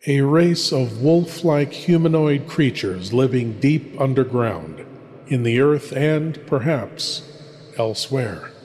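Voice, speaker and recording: A man's voice: mid-range voice, darkish tone. A man playing an ominous, theatrical lord who hosts a horror show, speaking in a sinister, portentous way. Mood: ominous, amused. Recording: long cavernous reverb.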